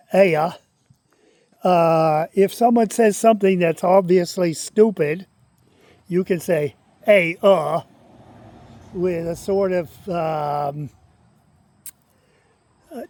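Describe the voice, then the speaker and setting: Guy rambling on, kinda high voice, dry close sound. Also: darkish tone, dry recording. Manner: rambling, conversational, stops to read aloud. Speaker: channel host. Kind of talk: casual talk to camera, reading aloud.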